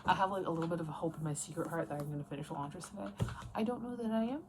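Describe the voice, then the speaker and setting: A woman's voice: on the low side, rapid-fire. A woman in her late twenties chatting casually in a rambling, self-interrupting way, as in a personal vlog. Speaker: book and writing vlogger. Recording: noticeable background noise.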